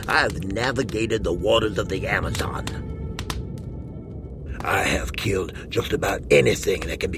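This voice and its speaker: An actor playing a worldly, obsessive big-game hunter in a western audio drama, telling his tale in a slow, brooding, hushed manner with a gravelly voice. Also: low voice. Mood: proud, intense. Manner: slow, brooding storyteller with hushed, breathy emphasis.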